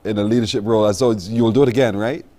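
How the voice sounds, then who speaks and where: A man's voice: fairly low pitch, fairly even intonation, fast talker, dark tone. A man hosting a live morning broadcast interview. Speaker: morning TV show host.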